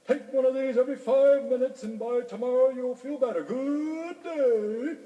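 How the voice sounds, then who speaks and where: Man doing a high-pitched, officious old bird doctor. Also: warm tone, roomy sound, highs cut off. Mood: brisk, officious. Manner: brisk, prescribing.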